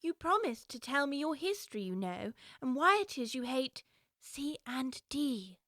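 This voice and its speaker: A woman's voice: high voice, sing-song, measured pace, pushed and bright, heavily acted. A woman playing a curious, polite, naive little girl in a full-cast audiobook, with an earnest manner.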